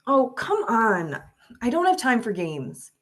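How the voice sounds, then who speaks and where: A woman acting an animated, exasperated fiancée. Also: a little high, takes it slowly, full character voice. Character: caring but exasperated fiancée of a pageant contestant. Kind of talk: screenplay table read acting.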